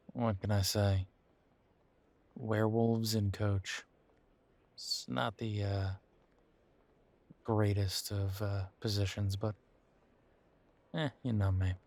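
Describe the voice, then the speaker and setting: A man playing a weary, wry werewolf boyfriend in an intimate audio roleplay, talking casually with hesitant, trailing pauses. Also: on the low side, little pitch movement, darkish tone. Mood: wry, resigned. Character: weary, wry male werewolf boyfriend estranged from his family. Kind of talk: intimate roleplay audio drama, conversational monologue.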